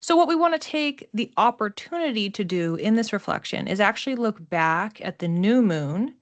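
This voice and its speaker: A woman with a slightly low-pitched voice gives an astrology forecast. She talks as a presenter explaining things to listeners, setting out her points in short, measured phrases with pauses between them. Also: a bit bright.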